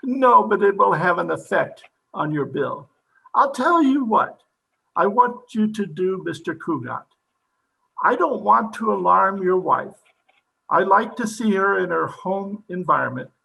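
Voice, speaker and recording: A man playing a pompous, excitable psychiatrist in an old-time radio comedy, speaking theatrically. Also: high-pitched, measured pace, gravelly, exaggerated voice, low-quality recording. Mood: self-important.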